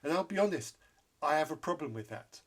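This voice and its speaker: A man's voice: a little high, expressive, a little fast. A man hosting an interview podcast, who grew up in Zambia, speaking candidly and repeating points for emphasis. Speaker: podcast host.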